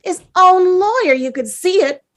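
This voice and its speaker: Woman as a sarcastic male juror, impatient, scoffing, high voice, very animated, drama script read. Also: normal speed. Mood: scornful. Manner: scoffing, exclamatory.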